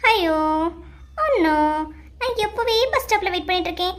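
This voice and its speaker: A woman's voice: very high-pitched, lively intonation, moderate pace, a bit bright. A woman voicing a stylish young woman putting on airs in a comedy cartoon, affected and mixing in English words.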